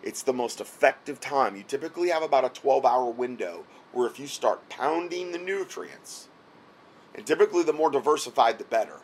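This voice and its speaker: A hoarse man talks the listener through instructions in a loose, rambling way, stopping often to check that he is being followed. His voice sits at a middle pitch and is a little worn from a cold. A faint hiss runs under the recording. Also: animated, a little fast. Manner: repeats himself, frequent "okay?" check-ins. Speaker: health product seller giving advice. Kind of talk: casual instructional talk. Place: indoors, late at night.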